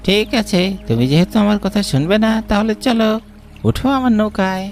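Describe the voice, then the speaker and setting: A man's voice: sits high, a little fast, soft background music. A man playing a mysterious village boatman who gives grim, cryptic warnings in a cartoon ghost story. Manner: ominous, cryptic.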